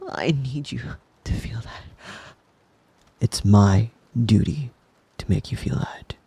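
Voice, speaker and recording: A man plays a devoted, possessive young wolf boy soothing his lover in an ASMR roleplay. His voice is very breathy and husky, often sinking to a whisper. He speaks slowly and tenderly, right up against a dry, close mic. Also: medium pitch, wildly swooping pitch, warm tone, no room sound, heavily acted. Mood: tender, earnest. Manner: tender, slow, lingering, coaxing. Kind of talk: intimate ASMR boyfriend roleplay. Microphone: close-up ASMR microphone.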